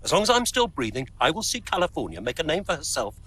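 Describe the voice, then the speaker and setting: An actor playing a defiant, curt male team boss in a game cutscene, husky and emphatic. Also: a little high, sing-song, fast talker, bright, forceful tone, no room sound, heavily acted. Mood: defiant, firm. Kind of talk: dramatic video game cutscene acting.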